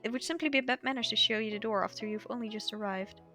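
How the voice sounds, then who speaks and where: A woman's voice: on the high side, quick pace, pushed and bright. A woman reading aloud the game dialogue of a courteous, chatty gatekeeper, in polite, formal, wordy phrasing. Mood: polite, welcoming. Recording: music behind the voice.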